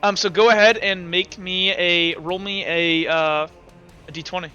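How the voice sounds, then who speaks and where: A young man running a tabletop game as game master, narrating scenes and explaining rules in a halting way with many fillers and restarts. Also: sits high, steady tone, bright, forceful tone, clean tone, lo-fi sound, faint music behind.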